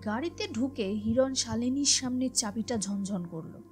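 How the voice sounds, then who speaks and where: A woman's voice: a little high, normal speed. A woman narrating a scripted horror story in a dramatic storytelling style. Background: music behind the voice.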